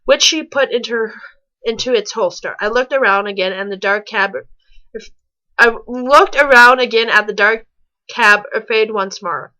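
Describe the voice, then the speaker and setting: A woman reads amateur fiction aloud in a bright, fairly high voice. She trips over words, backs up to repeat them and slips in mocking comments. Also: moderate pace, rough recording. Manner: stumbles over words, rereads lines. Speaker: reader on a fan fiction reaction show. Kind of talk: reading a story aloud with mocking asides.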